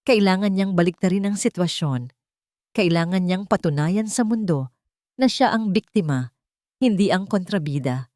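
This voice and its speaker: A woman with a Filipino accent narrating a romance story in a measured, dramatic storytelling manner. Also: lowish voice, animated, darkish tone, dry recording, clean studio sound.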